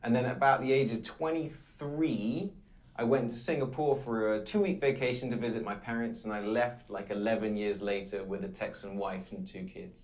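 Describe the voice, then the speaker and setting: A man's voice: on the low side, fairly even intonation, normal speed, a little mellow, low-quality recording. A man in his thirties with an English accent, fluently answering interview questions as a guest. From England. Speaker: guest, content creator.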